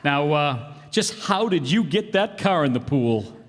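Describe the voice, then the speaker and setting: A man's voice: on the high side, lively intonation, measured pace. Man playing exasperated radio sitcom husband, echoey hall. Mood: sheepish, bluffing. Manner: exclaiming, stammering when making excuses. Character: flustered, exasperated married bandleader, slick comic schemer. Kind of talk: 1940s radio sitcom acting. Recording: reverberant hall, stage radio play.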